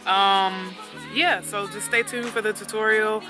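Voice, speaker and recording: Young female vlogger, casual, filler-heavy, mid-range voice, soft music bed. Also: medium pitch, steady tone, measured pace. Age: young adult. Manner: chatty, rambling, laid-back, lots of fillers. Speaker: beauty vlogger. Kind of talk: casual hair tutorial chat.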